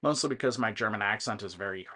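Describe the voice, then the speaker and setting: A man giving casual, digressive commentary on a video game, explaining things in a halting way. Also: fairly low pitch, bright, forceful tone. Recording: faint music in the background.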